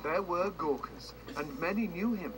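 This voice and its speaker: An actor playing a wry, macabre storyteller who narrates a grim storybook tale in a video game, dramatic and tongue-in-cheek, heard through noisy TV audio. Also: male voice, sits high, normal speed, over music, natural voice. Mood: somber, macabre.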